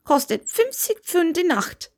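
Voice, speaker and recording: A woman's voice: extremely high pitch, big pitch swings, unhurried, pitched above the performer's own voice, full character voice. Woman voicing a curt, grudging old innkeeper man. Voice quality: hoarse. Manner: curt, terse.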